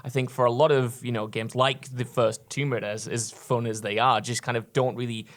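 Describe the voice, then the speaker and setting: A man's voice: mid-range voice, little pitch movement, a little fast. A man on a podcast panel, talking casually and explaining his points at length, sometimes with mock quotes.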